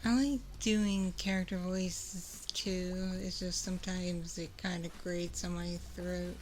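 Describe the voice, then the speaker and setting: A woman chatting casually in a soft, confiding ASMR livestream style, close on a binaural microphone. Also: lowish voice.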